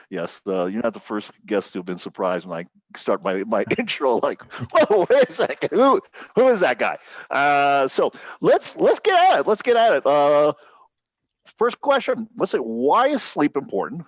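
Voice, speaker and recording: A man hosting an interview podcast, reading the guest's intro in a booming radio voice and chatting loosely with frequent laughs. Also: big pitch swings, moderate pace, dry recording, lo-fi sound. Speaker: podcast host.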